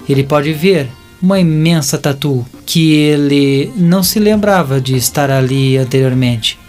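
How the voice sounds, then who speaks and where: Brazilian man narrating over music. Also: on the high side. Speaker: audio drama narrator. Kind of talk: scripted story narration.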